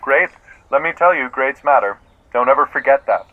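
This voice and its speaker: Man acting an eager, halting out-of-work actor. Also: medium pitch, dry recording, narrow, tinny audio, lightly acted. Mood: self-consciously upbeat. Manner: excitable, halting, self-serious about acting. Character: eager, earnest out-of-work actor, over-invested in a favor. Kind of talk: naturalistic comedic audio drama acting.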